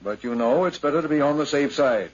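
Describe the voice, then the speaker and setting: An actor playing a courteous, unhurried police inspector, polite and matter-of-fact, in a 1950s radio drama. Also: male voice, a little high, expressive. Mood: polite, businesslike. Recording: dull 1950s radio recording.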